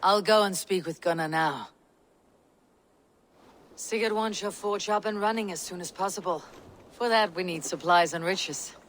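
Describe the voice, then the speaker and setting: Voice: medium pitch, moderate pace, long pauses, a bit bright, slight background noise, natural voice. A performer playing a thoughtful, loyal Viking warrior with a poetic streak in a dramatic video game. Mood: purposeful.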